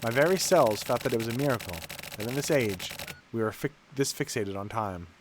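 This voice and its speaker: Male narrator, mid-range voice, reads story text with measured drama; noticeably noisy recording. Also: medium pitch, animated. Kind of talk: dramatic read-aloud of game narration.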